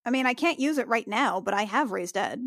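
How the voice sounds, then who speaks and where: A woman playing a tabletop fantasy game, improvising in character in a halting way and often repeating herself. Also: fairly high pitch, animated, a bit bright, dry recording, studio quality.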